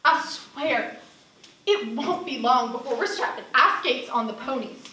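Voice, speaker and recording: Young woman acting brash high-voiced Southern cowgirl, echoey. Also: expressive, unhurried, bright, forceful tone, full character voice. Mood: indignant storytelling. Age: young adult. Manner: folksy, emphatic, salty. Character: brash, fed-up tough rodeo cowgirl. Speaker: student actress performing a monologue. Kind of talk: theatrical stage monologue. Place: indoor theater stage. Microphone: far camera. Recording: distant, echoey theater recording with noise.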